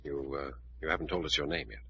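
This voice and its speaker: An actor playing a level-headed, take-charge adventurer, direct and probing, in a 1940s radio drama. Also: male voice, extremely low pitch, steady tone, quick pace, sharp tone, exaggerated voice. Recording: dull old radio recording, faint hiss.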